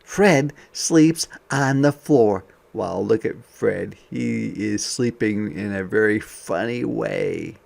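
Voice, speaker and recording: A man reading a picture book aloud to young children as a teacher, expressive, with softer conversational asides. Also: fairly low pitch, slow pace, gravelly, few pauses.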